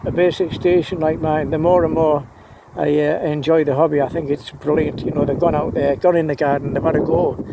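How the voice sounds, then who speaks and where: A man's voice: a little high, little pitch movement, fairly quick, hardly any pauses, heavy background noise. A man rambling casually and enthusiastically about his hobby, often repeating words as he hesitates. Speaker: amateur radio hobbyist vlogger.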